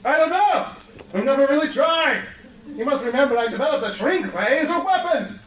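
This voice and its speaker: High-pitched, pompous adventurer-hero. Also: male voice, expressive, a little fast, a bit bright. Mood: nervous, defensive. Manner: theatrical, grandly self-assured. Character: pompous, self-assured male adventurer-hero with a villainous streak. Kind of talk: comic radio play acting. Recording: roomy, distant, crowd noise behind.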